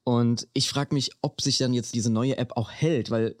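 Guy co-hosting a chatty podcast, mid pitch, slangy with english words mixed in, dry sound. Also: fairly even intonation, moderate pace, darkish tone, no echo. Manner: colloquial German peppered with English slang. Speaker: podcast co-host. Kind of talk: casual chat between co-hosts.

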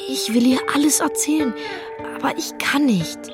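A homesick young boy played by a female voice speaks in a high, plaintive register. He is stubborn and sincere, in a radio drama scene with music clearly underneath. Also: normal speed, lightly acted. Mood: subdued. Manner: plaintive, pleading. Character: homesick, upset eight-year-old boy, stubborn and sincere. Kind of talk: German radio drama dialogue.

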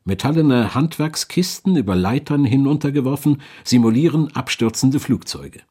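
A man's voice: medium pitch, normal speed, dark tone, husky. A man narrating a documentary from a script.

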